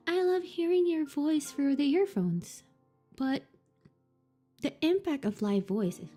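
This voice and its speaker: A woman's voice: on the high side, mellow, slightly rough, full character voice. A woman voicing a lonely, obsessive young yandere girl in a visual novel, pleading and repeating herself. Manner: pleading, repetitive questioning.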